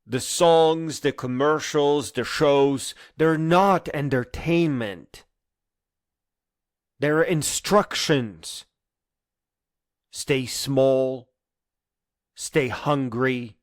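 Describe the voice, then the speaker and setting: A man delivering a scripted spoken-word monologue in a solemn, deliberate way with poetic phrasing and pauses. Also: medium pitch, no echo, studio quality.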